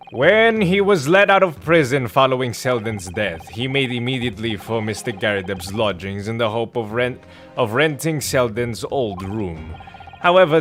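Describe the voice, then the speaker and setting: A man voicing an earnest defence lawyer from a game, reading the dialogue in a formal, measured, theatrical way. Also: mid-range voice, a bit bright, close to an everyday voice. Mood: confident, explaining. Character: earnest male defence lawyer, methodical and accusing. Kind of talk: dramatic read-aloud of game dialogue. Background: game music.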